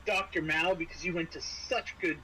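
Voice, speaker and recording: A man running a tabletop horror game as game master, improvising scene descriptions with halting, dramatic pauses. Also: on the high side, fairly even intonation, noisy background.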